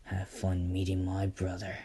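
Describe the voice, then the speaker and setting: Brief: male storyteller as a vengeful fallen-angel youth, breathy low whisper, unhurried. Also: completely flat delivery, warm tone. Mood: menacing. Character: vengeful fallen angel, young man, cruel.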